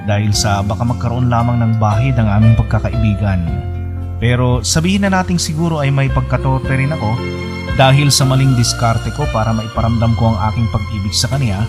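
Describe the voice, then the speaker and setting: A man's voice: medium pitch, natural voice. An actor playing a lovelorn young man in a Filipino radio drama, earnest and colloquial, with a Filipino accent. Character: lovelorn young man pining for his best friend. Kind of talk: sentimental Filipino radio drama, narration and dialogue.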